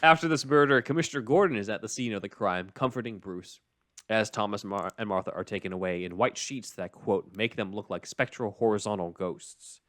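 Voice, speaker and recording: A low-pitched man talks like a podcast host walking listeners through a script. His delivery is measured and explanatory but casual, recorded close and very dry. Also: a bit bright, no echo. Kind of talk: casual recap and commentary on a script.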